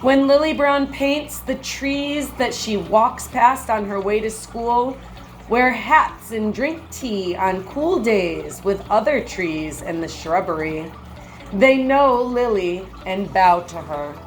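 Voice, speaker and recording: A woman reading a children's picture book aloud like a teacher, with measured, expressive phrasing and brief comments. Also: medium pitch, a little hiss or hum, rough recording.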